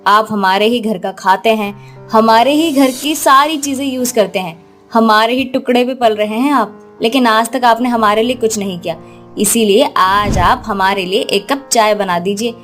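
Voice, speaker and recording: A woman acts out a middle-aged mother-in-law in a moral story. Her voice is on the high side, sugary but taunting, and she is sarcastic and manipulative. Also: normal speed, a bit bright, soft background music, lightly acted. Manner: sugary, guilt-tripping, taunting.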